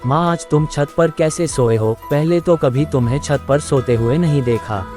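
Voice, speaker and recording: A man's voice: fairly high pitch, normal speed, a little mellow, soft background music, lightly acted. A man voicing an easygoing young newlywed husband in a horror cartoon. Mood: pleasantly surprised. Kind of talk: Hindi horror cartoon voice acting.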